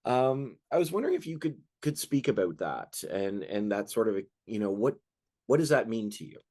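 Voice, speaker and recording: A man's voice: fairly low pitch, big pitch swings, normal speed, a little mellow. A man interviewing a filmmaker, asking long rambling questions full of hesitations and fillers.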